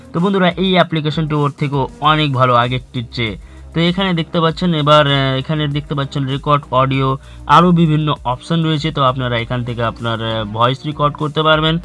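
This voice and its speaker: A man's voice: on the high side, fairly bright tone. A man hosting a tech channel, narrating a tutorial and addressing viewers directly as friends in an instructional way. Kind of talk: tutorial narration for an online video. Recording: music bed under the voice. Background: background music.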